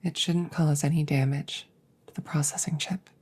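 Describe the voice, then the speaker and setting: A woman playing a wounded, stoic android soldier in a whispered ASMR audio drama, speaking formally and haltingly in a hushed, breathy voice. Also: sits low, steady tone. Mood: calm, instructive. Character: wounded female android soldier, polite, stoic, quietly grieving.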